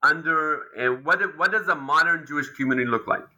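A man, a history lecturer, answering an interview question in a thoughtful, explanatory way with frequent pauses and restarts. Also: a little high, bright, forceful tone, highs cut off.

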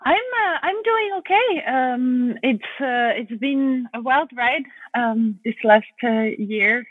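Woman guest philosopher, thoughtful and halting, on a thin phone-quality line. Dead-dry sound. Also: sits high, lively intonation, dry recording. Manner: thoughtful, searching for words. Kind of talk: conversational interview answers.

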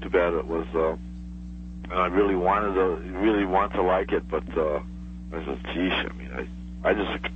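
A middle-aged man chats casually as a radio caller, his voice on the low side. He is hesitant and rambles. The phone sound is dull, with faint hum and noise. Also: fairly even intonation, normal speed. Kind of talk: casual chat. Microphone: telephone.

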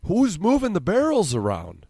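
A man's voice: sits high, huge pitch swings, moderate pace, warm tone, no echo, studio quality. A man with a central Illinois accent, hosting a casual sports podcast with exasperated, sweary rambling.

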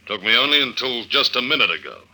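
A hard-boiled detective from a vintage radio thriller. The voice sits at a middling pitch with a sharp, driving edge. He delivers slangy lines in clipped, wry fashion. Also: very animated, fast talker, exaggerated voice, poor audio. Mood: confident, explaining. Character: tough, wry male detective agent narrating his own case. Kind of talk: old-time radio drama acting, hard-boiled first-person narration. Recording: vintage radio drama recording.

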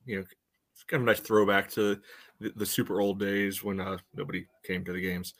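Flat, lowish male podcast voice. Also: brisk. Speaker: soccer fan podcast panelist. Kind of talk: casual game analysis.

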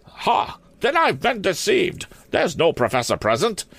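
A man's voice: high voice, huge pitch swings, a little slow, creaky, a bit bright, no echo, pitched above the performer's own voice, heavily acted. A man voicing a stern, indignant old maid school principal who talks in a haughty, commanding, formal way.

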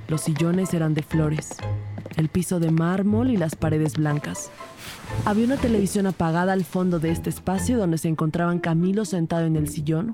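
A woman's voice: fairly low pitch, natural voice. A woman playing a detective who narrates her case in a scripted audio drama. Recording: clear background music under voice.

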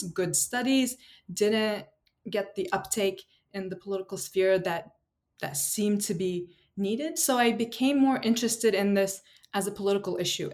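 Thoughtful woman from Turkey, halting. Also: mid-range voice. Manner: thoughtful, many ums and restarts. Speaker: political science professor, guest. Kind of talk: academic interview answers.

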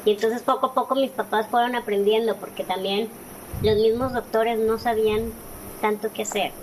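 A woman's voice: fairly high pitch, steady tone, normal speed, slight background noise, poor audio. A woman in her forties with a Mexican Spanish accent, telling her personal story conversationally as an interview guest. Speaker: interview guest, author and speaker.

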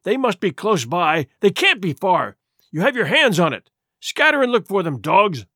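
A man voicing a villainous blind pirate beggar who shouts and curses imperious orders in a storybook reading. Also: high-pitched, very animated, moderate pace, breathy edge, no echo, clean studio sound, exaggerated voice. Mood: raging, impatient.

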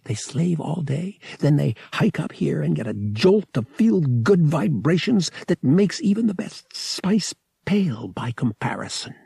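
A man's voice: on the high side, sing-song, unhurried, vocal fry, few pauses, warm tone, slightly rough, dry recording, clean studio sound, pitched above the performer's own voice, exaggerated voice. A man voicing a defiant, cynical young smuggler in an audiobook, with a sardonic, gritty manner. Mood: bitter.

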